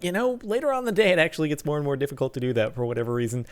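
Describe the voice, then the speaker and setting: A man's voice: fairly high pitch, racing. A young man in his twenties casually commenting on his own gameplay as a let's play host, rambling and upbeat, poking fun at himself. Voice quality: gravelly. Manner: rambling, upbeat, self-deprecating.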